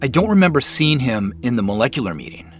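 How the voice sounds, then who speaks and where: Dry, inquisitive detective, animated pitch. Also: male voice, fast, faint music behind. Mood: questioning. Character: dry, inquisitive male detective working a case. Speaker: actor in an audio drama. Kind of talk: naturalistic audio drama dialogue.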